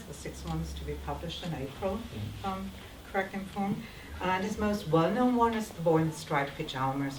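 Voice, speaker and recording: A teacher making a polite, formal welcome speech at a school reading, speaking English as a German native with some ums. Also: female voice, low-pitched, background noise. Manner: polite, careful, with ums and pauses. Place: school hall. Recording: echoey hall, distant mic, audible ambience.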